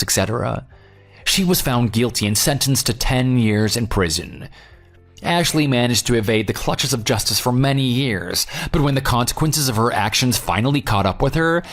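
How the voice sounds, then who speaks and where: A jilted former groom, played by a man, telling his story in an audio drama. He is dry, sarcastic and unyielding, with a medium-pitched voice. Light music sits underneath. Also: a bit bright. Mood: matter-of-fact. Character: jilted ex-fiance, sarcastic, unyielding, self-assured. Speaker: narrator and lead character. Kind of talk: audio drama dialogue and first-person narration. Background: background music.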